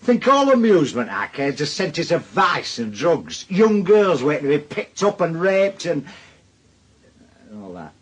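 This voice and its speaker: A man's voice: on the high side, huge pitch swings, measured pace, breathy edge, exaggerated voice. An actor playing an affable, slightly defensive salesman in a British radio drama, hesitant and jovial, with a Northern English accent.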